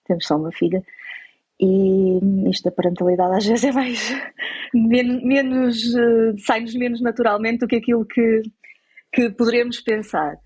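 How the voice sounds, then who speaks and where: A woman's voice: on the high side, steady tone, normal speed, darkish tone, breathy edge. A woman with a European Portuguese accent giving conversational interview answers, explaining and rephrasing as she goes. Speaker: interviewed parent.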